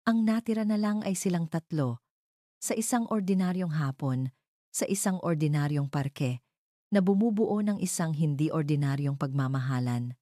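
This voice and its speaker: Low-voiced woman narrating a romantic drama. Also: warm tone, no echo, studio quality. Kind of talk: scripted dramatic story narration.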